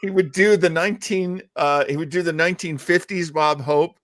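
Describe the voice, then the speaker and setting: A man's voice: a little high, fairly even intonation, normal speed, fairly bright tone, no room sound. A man hosting a casual panel chat, explaining and retelling old comedy bits. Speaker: show host.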